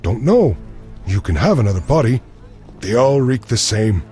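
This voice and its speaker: A male actor plays a morbid undertaker in a fantasy game. His voice is mid-pitched, sly and blasé, with a mercenary air. Music plays behind him. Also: very animated, normal speed, a little mellow, close to an everyday voice. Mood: evasive, blasé. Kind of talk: video game voice acting.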